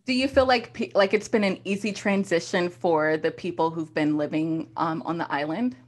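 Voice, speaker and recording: A young woman with a lowish voice hosts a podcast conversation. She asks curious questions and encourages her guest along. She speaks into a desk microphone in a room at home. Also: moderate pace. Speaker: podcast host. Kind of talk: casual interview. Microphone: desk podcast mic.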